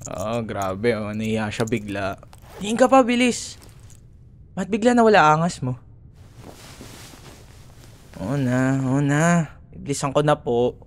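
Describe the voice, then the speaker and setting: A man's voice: mid-range voice, natural voice. A young man with a Filipino accent acting a cocky, grudging student rival in an ASMR roleplay, teasing and sarcastic in casual banter. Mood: exasperated. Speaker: voice actor.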